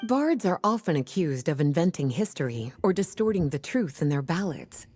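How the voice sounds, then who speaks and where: A woman voicing a composed, knowledgeable librarian in a video game, explaining things in a measured, storytelling way. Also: low voice, very animated, a little mellow. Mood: calm explaining. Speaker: librarian character. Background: game music.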